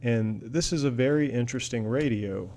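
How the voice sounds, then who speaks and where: A man's voice: mid-range voice, normal speed, a little mellow, a little hiss or hum. An American man chatting casually as a hobbyist vlogger, rambling along in a folksy, enthusiastic manner. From United States. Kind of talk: casual product chat.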